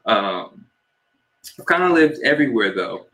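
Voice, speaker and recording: A young man from New Jersey co-hosting a casual podcast, relaxed and easygoing as he gives shout-outs and asks questions. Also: on the low side, unhurried, rough recording. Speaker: podcast co-host.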